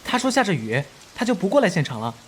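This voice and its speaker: A woman playing a cheerful, bumbling young police rookie in an animated crime drama, sheepish, eager and chatty. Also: fairly low pitch, quick pace, slight background noise.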